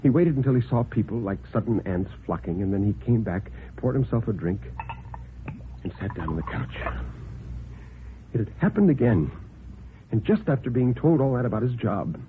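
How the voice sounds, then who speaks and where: A man narrating a story as a 1950s radio storyteller, with theatrical, suspenseful, deliberate phrasing. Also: mid-range voice, animated, a little hiss or hum. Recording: tinny band-limited vintage radio recording.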